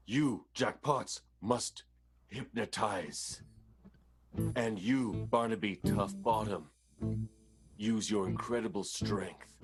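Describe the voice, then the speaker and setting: An actor playing an earnest, coaxing schemer in an improvised comic radio soap, with an emphatic, melodramatic delivery. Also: male voice, medium pitch. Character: earnest, coaxing male schemer rallying his partners.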